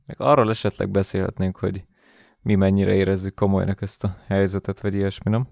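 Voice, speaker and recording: A man's voice: low-pitched, flat delivery, gravelly, a little mellow, no room sound, studio quality. A man hosting a podcast, talking in a casual, conversational style. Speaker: podcast host.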